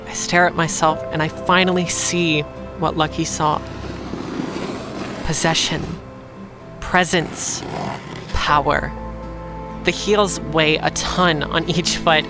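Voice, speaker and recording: A woman playing a wry, defiant working-class heroine, telling her own story deliberately in an audio drama. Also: sits low, flat delivery, music in the background. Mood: reflective, defiant. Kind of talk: first-person audio drama narration.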